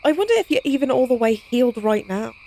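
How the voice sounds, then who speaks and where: Woman playing a high-voiced, flippant young-sounding vampire lady. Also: animated, fast, dark tone. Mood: curious, wry. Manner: flippant, teasing, wry, casual. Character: playful, cocky female vampire lab partner, science-obsessed, teasing. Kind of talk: intimate audio roleplay, conversational acting.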